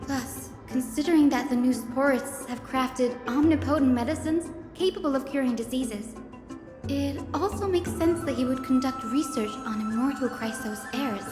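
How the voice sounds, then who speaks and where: A woman voicing an earnest, sharp-minded young investigator in a video game, reasoning aloud in a deliberate, dramatic way. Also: high-pitched, lightly acted. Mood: pondering. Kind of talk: scripted video game voice acting. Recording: echoey hall reverb under loud music.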